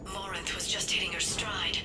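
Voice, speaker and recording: A woman's voice: mid-range voice, fairly even intonation, moderate pace, distant mic, music in the background, close to an everyday voice. A woman playing a serious, analytical alien intelligence expert in a video game. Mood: grave, informative.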